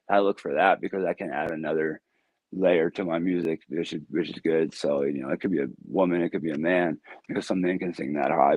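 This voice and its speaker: A man with a deep, low voice talks about his music in a casual, rambling way. He answers easygoing and laughs easily. The sound is dry and close, with no room echo. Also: a little mellow, slightly rough. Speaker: music artist guest.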